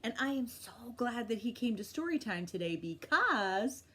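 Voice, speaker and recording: A woman hosting a children's storytime, reading aloud with expressive, encouraging, exaggerated delivery. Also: fairly high pitch, normal speed, slightly rough. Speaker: library storytime host. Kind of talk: animated read-aloud for young children.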